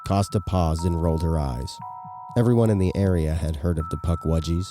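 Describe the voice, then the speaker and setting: Deep-voiced male horror story narrator. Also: fairly quick. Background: music.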